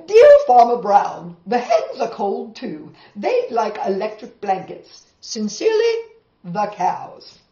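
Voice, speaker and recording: A woman voicing bold farm cows on strike in a children's storybook, reading out their demands loudly and emphatically. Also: mid-range voice, wildly swooping pitch, slow, reverberant, highs cut off, exaggerated voice. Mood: insistent. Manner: loud, emphatic, declarative letter-reading. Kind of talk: children's storybook read-aloud.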